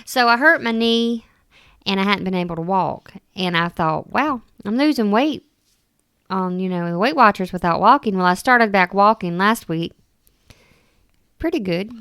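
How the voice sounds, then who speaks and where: A woman from the Southern United States co-hosting a podcast in casual chat, self-deprecating and quick to laugh. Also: mid-range voice, expressive, fairly bright tone, clean tone, no room sound, clean studio sound. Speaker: podcast co-host.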